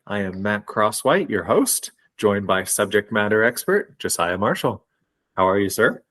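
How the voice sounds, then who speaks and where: A man's voice: lowish voice, big pitch swings, moderate pace, fairly bright tone. A man hosting a podcast in a casual, conversational style. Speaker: podcast host. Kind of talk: casual chat.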